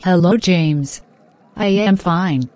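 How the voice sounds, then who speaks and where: A woman playing a gentle young woman in a children's cartoon, with measured, plain-spoken scripted lines. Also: on the low side, no room sound. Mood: troubled. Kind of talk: simple scripted dialogue for children.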